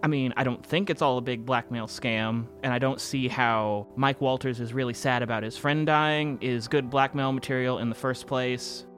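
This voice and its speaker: A man's voice: mid-range voice, steady tone, normal speed, few pauses, a bit bright, faint music behind, close to an everyday voice. A man playing an anxious narrator who confesses to a reality-bending game, in an earnest, reflective first-person audio drama monologue. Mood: reflective, uneasy.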